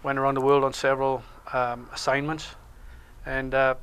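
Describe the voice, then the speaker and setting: A Welsh man chatting casually in a local TV interview, talking about himself with lots of pauses and fillers. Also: medium pitch, clear, resonant tone. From Wales. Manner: relaxed, hesitant with many uh fillers. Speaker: show co-host and security instructor.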